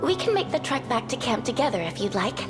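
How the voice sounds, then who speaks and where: A woman playing a gentle, courteous young cleric in a fantasy video game, with a sweet, caring manner. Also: on the high side, very animated, quick pace, over music. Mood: polite, cheerful.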